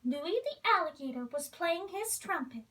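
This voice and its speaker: A woman reads a children's story aloud in an expressive storybook style, playing the role of a princess. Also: very high voice, moderate pace, far from the mic.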